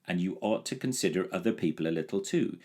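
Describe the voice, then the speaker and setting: A man voicing an excitable young boy in a storybook reading, earnest and pleading. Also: low-pitched, fast.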